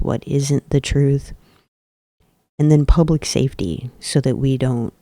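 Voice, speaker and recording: Male podcast host, earnest advocacy commentary, dark mellow tone, voice a bit off today. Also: mid-range voice, gravelly, no echo, studio quality. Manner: earnest, emphatic, deliberate repetition. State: voice a little off today.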